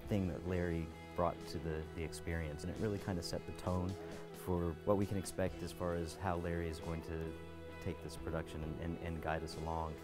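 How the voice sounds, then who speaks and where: A man's voice: sits low, little pitch movement, a little fast. A man, an actor, reflecting casually in an interview. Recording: music behind the voice.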